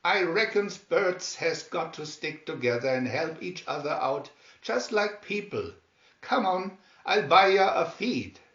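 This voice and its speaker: Storybook sailor played by a man: gruff, plucky, folksy, ungrammatical, swinging pitch, muffled room sound. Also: very animated, a little slow, a bit bright, heavily acted. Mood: chuckling, friendly. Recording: dull, roomy home recording.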